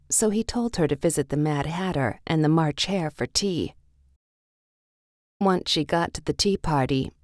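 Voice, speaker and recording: A woman with a low voice narrates a children's storybook. She reads the scripted text slowly, in read-along style, and the recording is very dry and close. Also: a little mellow, dry recording.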